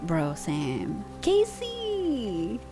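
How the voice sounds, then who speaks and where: A woman's voice: medium pitch, huge pitch swings, very slow, over music. A young woman streamer chatting casually with her viewers in a slangy, laid-back way, using pet names, on a close microphone.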